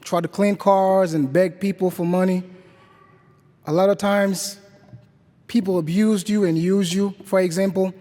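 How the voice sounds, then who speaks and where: High-voiced young man from Haiti. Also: steady tone, dark tone. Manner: slow, deliberate, repeating phrases. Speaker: guest speaker at Mass. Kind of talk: personal testimony to a congregation. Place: church. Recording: church hall reverb.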